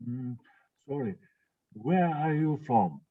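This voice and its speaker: A man's voice: mid-range voice, lively intonation, slow pace, breathy edge, no echo. Hesitant man on a tinny line. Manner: hesitant, non-native phrasing, many fillers. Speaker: conference questioner. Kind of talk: conference Q&A. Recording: dry tinny phone-like line.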